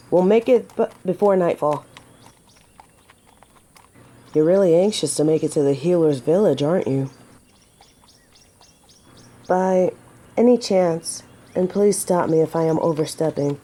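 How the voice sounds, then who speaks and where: A woman's voice: on the low side, measured pace, pauses often, dark tone. A woman playing a noble, humble lady knight in an audio drama, courteous and protective, with formal, old-fashioned courtly phrasing. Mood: friendly, curious. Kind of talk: scripted roleplay audio drama to the listener.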